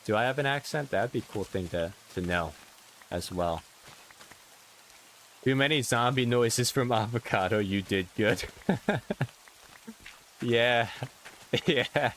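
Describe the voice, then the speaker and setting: A man's voice: slow pace, long pauses, a little hiss or hum. A young man with a New York accent chatting casually on a live stream, rambling and playful, reading out viewer comments. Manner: rambling, self-interrupting, playful, jumps up in pitch for excited questions. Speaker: Minecraft streamer.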